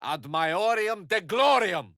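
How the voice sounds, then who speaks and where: A man's voice: sits high, animated, takes it slowly, sharp tone, dry recording, clean studio sound, full character voice. A man playing an eloquent, bookish video game wizard, wry, proud and courteous, with an articulate, theatrical and refined delivery. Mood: forceful. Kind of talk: video game character acting.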